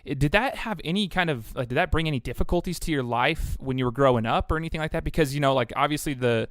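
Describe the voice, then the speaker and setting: A man's voice: a little high, quick pace, fairly bright tone, no room sound, clean studio sound. A man hosting a casual sports interview podcast, upbeat and chatty with plenty of fillers. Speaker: podcast host.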